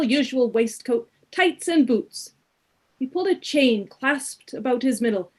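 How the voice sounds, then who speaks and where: A woman narrating a radio play in a dramatic, deliberate storytelling style, drawing out words for suspense. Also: fairly high pitch, a little mellow.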